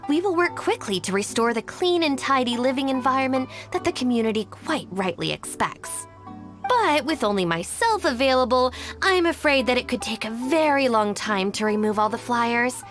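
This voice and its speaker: High-voiced, poised young woman, game deputy. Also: lively intonation, moderate pace, faint music behind. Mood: apologetic, formal. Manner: polite, formal, persuasive. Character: poised young woman, diplomatic deputy of a gang, sternly responsible. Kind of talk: video game voice acting.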